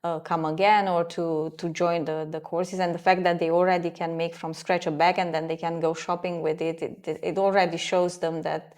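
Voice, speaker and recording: Woman interview host, earnest, long questions, low-pitched voice. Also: level tone, moderate pace, hardly any pauses, a little mellow. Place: sewing studio.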